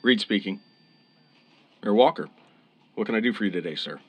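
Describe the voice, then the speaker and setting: An actor playing a calm, fair-minded police officer in a radio crime drama, measured and authoritative. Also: male voice, fairly low pitch, husky, no room sound. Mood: authoritative, deferential. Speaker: police interrogator. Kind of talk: old-time radio crime drama acting.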